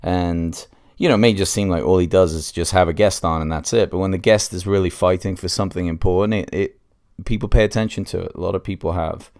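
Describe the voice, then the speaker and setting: Man, low voice, smooth and resonant, slight cold, casual podcast commentary, hesitant delivery. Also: fairly even intonation, fast, a little mellow. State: congested with a cold. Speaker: podcast host and reviewer.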